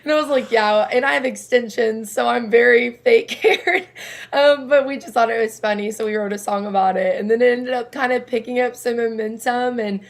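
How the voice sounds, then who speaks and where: A young woman with a raspy speaking voice, though her pitch sits a little high for a woman. She answers interview questions in a chatty, rambling way, often laughing between her thoughts. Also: steady tone, fairly quick. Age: young adult. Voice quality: low raspy voice. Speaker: singer-songwriter guest.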